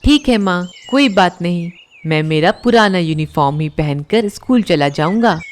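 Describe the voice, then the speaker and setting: A woman's voice: lowish voice, expressive, moderate pace, a little hiss or hum, close to an everyday voice. A woman voicing a young schoolboy bird in a cartoon, an earnest, pleading and obedient son. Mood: resigned, obedient. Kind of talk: Hindi cartoon voice acting. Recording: loud music behind the voice.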